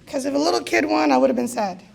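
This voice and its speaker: A woman emceeing a gala and calling raffle numbers, with a raspy voice and a playful, teasing manner. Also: high voice, expressive, fast talker, darkish tone. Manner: playful, teasing, jokey asides. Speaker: event host calling raffle draws. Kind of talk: live emcee banter at a gala.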